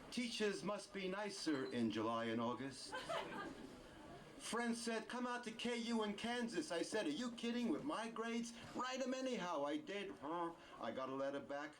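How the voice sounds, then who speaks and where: An older man from Brooklyn with a New York accent, telling a spoken-word comic story at a live venue, animated and full of sound effects. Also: on the high side. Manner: animated, with vocal sound effects and quick character voices. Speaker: storyteller performer. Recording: noisy live room recording.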